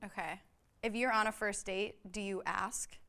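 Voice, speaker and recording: Brief: young female dating contestant, mid pitch, giggly hesitant chat. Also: fairly even intonation, moderate pace, pushed and bright, clear, resonant tone. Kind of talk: casual chat on camera.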